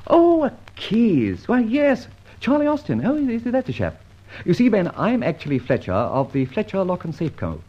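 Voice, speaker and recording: Dull lo-fi radio recording, suave swooping gentleman. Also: high-pitched, wildly swooping pitch, moderate pace, exaggerated voice. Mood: airy, bluffing. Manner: breezy, feigned innocence, bantering. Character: suave, flippant gentleman adventurer, an old Etonian. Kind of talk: old radio drama acting.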